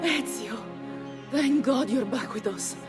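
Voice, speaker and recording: A fierce, proud noblewoman who rules her own city. She speaks with command, her voice set high. Loud game music plays under her lines. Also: takes it slowly. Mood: concerned. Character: fierce noblewoman ruler, adult, defiant and proud. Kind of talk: video game cutscene acting.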